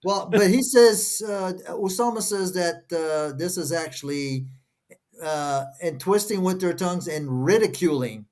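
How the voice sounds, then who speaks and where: A man's voice: high voice, unhurried, a little mellow. A man whose first language is Portuguese, reading verses aloud and stopping often to question each phrase in a casual discussion. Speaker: co-host reading scripture.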